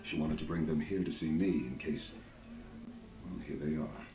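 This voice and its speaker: A very low-voiced, loyal soldier companion for a fantasy game. He speaks with solemn, heartfelt earnestness, and his voice rings in a roomy echo. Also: fairly even intonation, moderate pace, over music. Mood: gentle, reflective. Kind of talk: dramatic video game voice acting.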